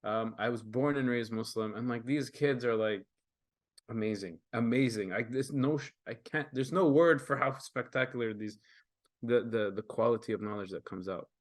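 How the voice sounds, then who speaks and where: A man's voice: mid-range voice, normal speed, dry recording, studio quality. A young man, a native Arabic speaker who grew up in Kenya, presenting his artwork in an earnest, casual way with many fillers and restarts over a video call. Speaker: guest artist presenting his work.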